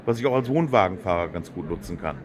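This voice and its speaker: A man's voice: deep voice, animated, fast, a crowd in the background. Chatty male trade fair interviewer. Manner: chatty, friendly, many agreeing "ja". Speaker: host. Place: exhibition hall.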